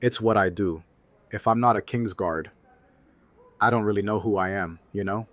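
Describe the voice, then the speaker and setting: A man's voice: lowish voice, normal speed, a bit bright, natural voice. A man playing a loyal, easygoing royal guard and apocalypse survivor in an audio drama, with casual, laid-back phrasing. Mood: subdued, candid.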